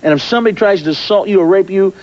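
Emphatic comedian with a high, dull voice. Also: male voice, very fast, a little mellow. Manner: blunt, emphatic, repeats words. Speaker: guest comedian. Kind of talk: casual talk-show chat.

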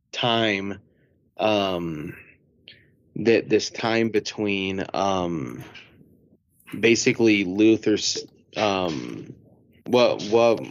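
A man of about thirty speaks very slowly in a fairly low voice. He searches for words in casual podcast discussion. The audio is dry and a bit dull. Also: no echo. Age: 30s. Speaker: podcast co-host or guest. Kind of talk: casual theology podcast chat.

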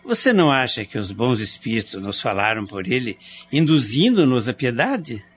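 An actor in a radio drama playing a cautious, reasonable husband who talks in a measured, persuasive way. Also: male voice, mid-range voice, sing-song, slight background noise, lightly acted. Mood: thoughtful. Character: worried husband, middle-aged, cautious and reasonable. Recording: muffled radio play with music.

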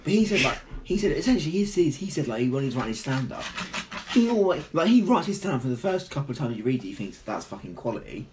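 A teenage boy with a croaky voice, rambling through stories full of restarts in a casual chat with friends. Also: mid-range voice, sing-song, quick pace, noisy background. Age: teens. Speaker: podcast co-host.

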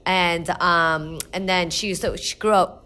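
Animated woman guest from New Jersey. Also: on the low side, a little slow, smooth. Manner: animated, repeats words for emphasis. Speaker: guest chef. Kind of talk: interview conversation.

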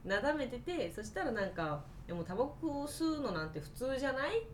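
A woman co-hosting a casual podcast, telling personal stories in an animated way and acting out bits of dialogue. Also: high-pitched, fairly quick, a little hiss or hum. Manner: animated, acts out quoted dialogue. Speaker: podcast co-host.

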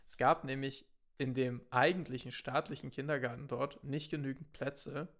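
A young man from Germany, a podcast co-host, telling stories in a relaxed way, laughing often and mixing in English words. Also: medium pitch, moderate pace. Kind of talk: casual chat.

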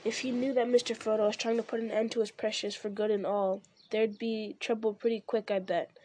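A woman's voice: a little high, flat delivery, brisk, mellow, lightly acted. A woman reading aloud and voicing a loyal, plain-spoken male servant who grumbles earnestly to himself. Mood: grumbling.

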